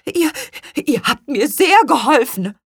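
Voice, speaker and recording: A woman voicing an excitable, self-important male storybook creature who blurts and repeats words emphatically. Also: medium pitch, slow, husky, no echo, voice pushed up, full character voice. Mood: excited. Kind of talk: children's storybook character reading.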